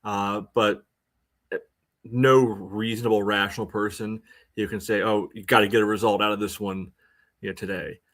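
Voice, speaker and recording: A man co-hosting a sports podcast, analysing in a casual, halting way full of verbal tics. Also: lowish voice, little pitch movement, fairly bright tone. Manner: halting, peppers speech with "you know".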